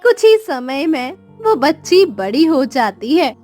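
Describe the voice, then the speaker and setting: Very high-pitched woman narrating slowly. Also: sing-song, a little mellow. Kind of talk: scripted children's story narration. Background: music.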